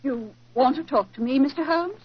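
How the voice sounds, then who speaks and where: An actress in a 1940s radio drama playing a poised, guarded wife with a polite, probing manner. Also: female voice, high voice, normal speed, lightly acted. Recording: dull, muffled 1940s radio recording.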